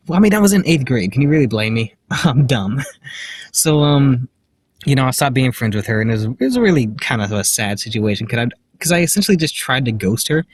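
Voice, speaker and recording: A young man vlogging outdoors into a handheld phone. He tells a personal story in a quiet voice, full of fillers and self-deprecating nervous laughs. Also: medium pitch, slightly rough, no echo. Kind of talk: rambling personal story to camera. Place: outdoors, walking in public.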